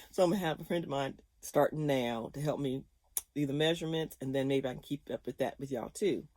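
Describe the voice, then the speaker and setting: A woman's voice: a bass voice, brisk, darkish tone, no echo. Chatty laughing woman in her fifties. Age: 50s. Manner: chatty and rambling, laughs often. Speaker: vlogger. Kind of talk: casual chat to viewers.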